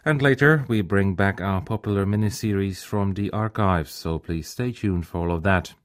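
Deep-voiced man presenting a radio show. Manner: measured announcer delivery. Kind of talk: scripted news presenting.

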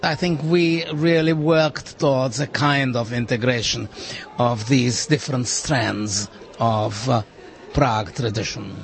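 An elderly man from Prague speaks at an unhurried, measured pace, thinking carefully as he reminisces. Faint café ambience murmurs underneath his voice. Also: medium pitch, a little hiss or hum. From Czech Republic. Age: 80s. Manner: slow, thoughtful, measured recollection. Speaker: interviewee. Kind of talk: reminiscing interview.

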